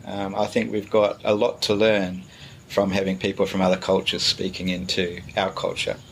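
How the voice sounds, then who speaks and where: A middle-aged male pastor talks about his church in a relaxed, halting way, often pausing on fillers. His voice sits fairly low. A faint hiss runs under the recording. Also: creaky. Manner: relaxed, hesitant with many fillers. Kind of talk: casual interview answers.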